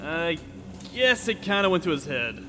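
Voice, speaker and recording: Guy doing a easygoing pilot boss, high animated voice, echoey with noise behind. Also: moderate pace. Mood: offhand. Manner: offhand, loose. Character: casual, easygoing male squadron leader. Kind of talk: parody anime dub. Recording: noisy vehicle ambience, long reverb.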